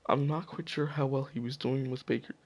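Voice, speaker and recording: A man giving casual, profane solo commentary while slowly losing his voice, stumbling over names as he goes. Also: mid-range voice, steady tone. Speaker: hockey video commentator.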